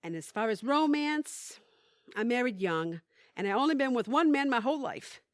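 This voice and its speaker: A high, very lively voice of an actress playing a wry, candid woman in a radio play. She is folksy and plain-spoken, a little hesitant, and recorded dry and close. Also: normal speed, no echo, natural voice. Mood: nervous confessing. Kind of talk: audio theatre acting.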